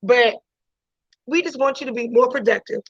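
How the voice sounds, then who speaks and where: A woman's voice: on the high side, moderate pace, slightly rough, dry recording. A woman teacher talking casually to her online class, chatty, with many restarts and "you know"s, over a video call. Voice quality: loud voice. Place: home during a video class. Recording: dull lo-fi video call audio.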